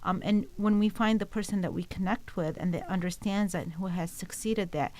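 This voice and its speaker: A woman's voice: mid-range voice, a little fast, darkish tone, slight background noise. A woman from Iraq hosting an interview, speaking with enthusiasm and using many fillers and restarts. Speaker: interview host. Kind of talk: casual interview conversation.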